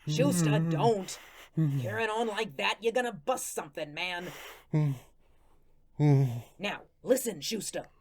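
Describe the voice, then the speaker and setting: A woman's voice: fairly low pitch, expressive, takes it slowly, pauses often, a little mellow. A woman playing the earnest, hapless ghost of a murdered tough guy in a radio drama, talking in folksy slang. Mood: coaxing, reassuring. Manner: folksy slangy speech with dropped grammar and rhetorical questions. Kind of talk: radio drama first-person monologue.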